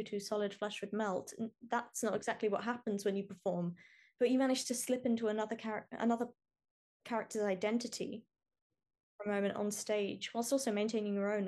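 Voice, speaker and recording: A woman's voice: mid-range voice, darkish tone. A woman hosting a podcast interview, talking in an earnest, enthusiastic way. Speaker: podcast host.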